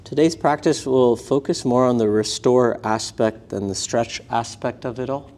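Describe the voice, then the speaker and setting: A man teaching a live yoga and meditation class, speaking gently in short, unhurried phrases with pauses between them. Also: medium pitch. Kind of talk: guided meditation class instruction.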